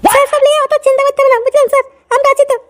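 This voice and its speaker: A man's voice: very high voice, flat delivery, racing. A man voicing an overconfident junior detective in a comedy cartoon, cocky and reassuring. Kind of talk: amateur comedy cartoon dub.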